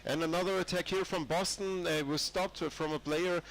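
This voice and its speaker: A man's voice: sits high, steady tone, moderate pace, vocal fry, a loud crowd, poor audio. A man with a cold commentating a live match, rambling with many fillers and restarts. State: has a cold. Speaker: sports commentator.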